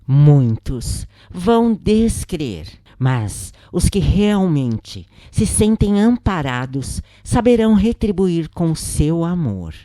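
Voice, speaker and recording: A woman's voice: lowish voice, big pitch swings, slow pace, hardly any pauses, dark tone, no room sound. A woman with a Brazilian accent reading a scripted first-person spiritual account as a narrator.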